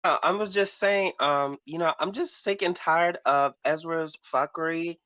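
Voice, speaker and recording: A man chatting casually and giving animated opinions in a sassy, campy, emphatic way, full of slang. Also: on the high side, a little slow, highs cut off. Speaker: panelist on a pop culture talk show.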